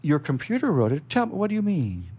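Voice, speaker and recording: A man hosting a radio show, chatting and reading aloud in a rambling, halting way, often repeating words. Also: a little high, wildly swooping pitch, a little mellow, slightly rough. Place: radio studio. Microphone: studio microphone.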